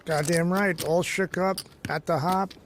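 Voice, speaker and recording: A man's voice: high voice, fairly even intonation, unhurried, background noise. A man commentating on a poker broadcast, bantering jokily with his partner. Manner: jokey, playful banter.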